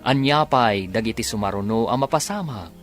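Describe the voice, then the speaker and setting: A man's voice: on the low side, expressive, moderate pace, loud music behind the voice. A man with an Ilocano accent announcing a radio drama in a formal, declamatory way.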